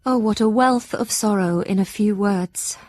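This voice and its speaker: An actress playing an earnest, anxious young Victorian gentlewoman in an audio drama, narrating her journal in a formal, heartfelt, measured way. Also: medium pitch, dry recording, close to an everyday voice. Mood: troubled.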